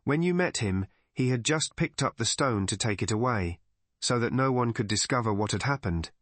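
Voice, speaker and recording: A shrewd, elderly lady sleuth of a cozy mystery audiobook, played by a male narrator. The delivery is calm, measured and methodical, laying out each deduction step by step. The sound is close and dry. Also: medium pitch, no room sound. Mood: methodical, assured. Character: shrewd, calm elderly woman amateur sleuth explaining a murder.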